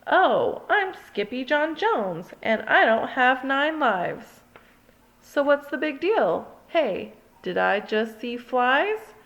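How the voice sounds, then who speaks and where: A woman voicing an excitable young boy kitten showing off with a put-on Spanish swagger. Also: high voice, takes it slowly, dull audio, pitched above the performer's own voice, exaggerated voice. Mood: bouncy. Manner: excited, mock-Spanish bravado. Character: boastful young boy kitten playing daredevil.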